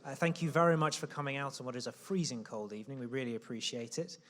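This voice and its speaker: Quick-talking guy opening a public meeting, echoey hall. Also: mid-range voice, a bit bright. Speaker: meeting organiser. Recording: reverberant hall.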